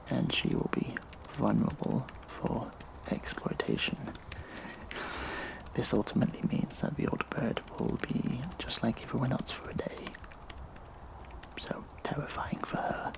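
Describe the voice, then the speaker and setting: An actor playing a smug, vengeful hacktivist in an audio drama, speaking in a breathy, sinister, mock-casual hush. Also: male voice, mid-range voice, background noise. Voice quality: breathy, whispery, gravelly creak. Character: vengeful gleeful hacktivist vigilante man. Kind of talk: audio drama monologue, addressed to viewers.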